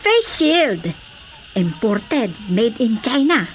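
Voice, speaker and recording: A woman's voice: on the high side, big pitch swings, drawn-out words, slight background noise, heavily acted. A woman playing a chatty, practical market stallholder in an Ilocano radio drama comedy. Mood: selling.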